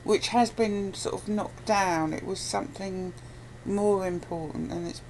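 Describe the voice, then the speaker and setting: Female interviewer, informal and conversational, gravelly fry, faint noise behind. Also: medium pitch, fairly even intonation, unhurried, darkish tone, low-quality recording. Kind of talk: casual chat.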